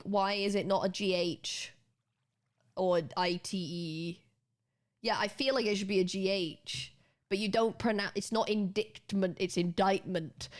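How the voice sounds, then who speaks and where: British woman streamer just rambling to chat, swears a lot, restarts sentences, medium pitch. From Britain. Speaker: livestreamer. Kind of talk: casual chat with stream viewers.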